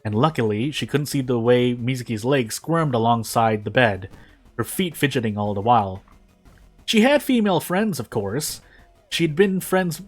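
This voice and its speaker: A man doing casual gaming video narration and commentary in a rambling, self-deprecating way. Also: medium pitch. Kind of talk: casual gameplay commentary and fanfic reading.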